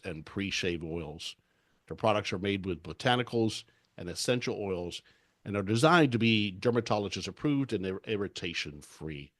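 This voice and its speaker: Male podcast host, upbeat and chatty, lowish pitch, dry booth sound. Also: no room sound. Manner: friendly, upbeat, talkative. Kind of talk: interview podcast.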